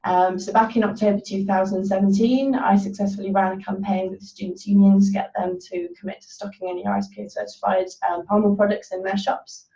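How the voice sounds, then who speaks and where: A woman's voice: mid-range voice, flat delivery, a little fast, few pauses, mellow. A young woman, a student, giving a webinar talk with frequent hesitations and ums. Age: young adult. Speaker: student presenter. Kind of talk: webinar presentation. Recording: distant, echoey, muffled webinar audio.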